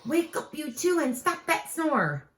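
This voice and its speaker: Woman playing exasperated storybook boy, raised pitch, commanding, swooping intonation, echoey room. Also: big pitch swings, measured pace, a bit bright, slight background noise, heavily acted. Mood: urgent, exasperated. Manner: exclamatory, urgent, commanding. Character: exasperated young boy rousing snorers from sleep.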